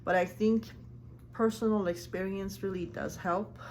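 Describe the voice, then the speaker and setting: A woman who grew up speaking Farsi, a life coach talking casually to camera in earnest, halting speech with many ums and restarts. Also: mid-range voice, steady tone, a little mellow, a little hiss or hum, low-quality recording.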